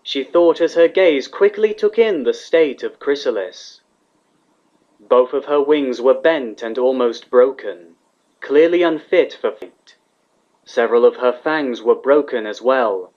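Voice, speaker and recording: A man's voice: mid-range voice, animated, unhurried, darkish tone. A man reading scripted fiction as the narrator of a dramatic story. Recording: slightly dull, lo-fi recording.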